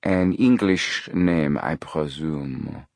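A man's voice: extremely low pitch, vocal fry, darkish tone, no room sound, full character voice. Man playing suave, hesitant French restaurant manager. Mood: suavely evasive. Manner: hesitant, polite, with drawn-out phrases.

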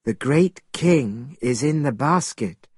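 A calm storybook goddess, read by a male narrator. The voice is slow and slightly husky, explaining with measured composure. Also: mid-range voice, dry recording, heavily acted. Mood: calm, explanatory. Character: calm, explanatory goddess, female, telling what she did.

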